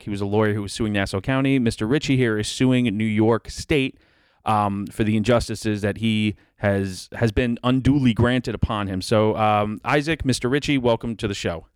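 A man hosting a podcast, introducing his guest in a relaxed way with lots of ums. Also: fairly low pitch, normal speed, clear, resonant tone, dry recording, clean studio sound. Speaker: podcast host. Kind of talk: casual podcast interview.

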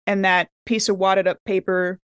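A woman's voice: mid-range voice, level tone, moderate pace, smooth, no room sound. A chatty, giggly woman improvising casually as a player in a tabletop roleplaying game.

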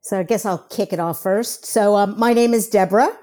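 Middle-aged American woman, interview guest, mellow voice, talkative. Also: medium pitch, fairly quick. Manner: chatty, upbeat, many fillers. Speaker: guest, HR professional. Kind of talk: casual interview talk.

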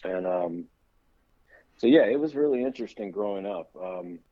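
A man's voice: low-pitched, lively intonation, moderate pace, mellow, no room sound, dull audio. A middle-aged man, a guest on an interview show, telling easygoing, rambling anecdotes about growing up. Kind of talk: casual storytelling interview.

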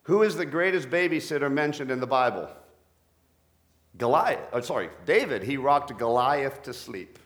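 Middle-aged male pastor, deep-voiced, preaching with a playful joking manner. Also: animated, normal speed, fairly bright tone. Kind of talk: sermon with corny jokes.

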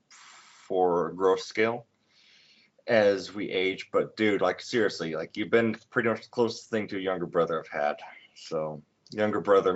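Rambling low-voiced man in his twenties. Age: 20s. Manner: rambling, many fillers and restarts. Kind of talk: casual chat. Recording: dull lo-fi sound.

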